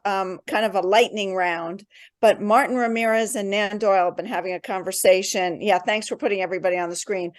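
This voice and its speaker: A woman moderating an online panel discussion over a video call, brisk and upbeat as she moves the talk along. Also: mid-range voice, no echo.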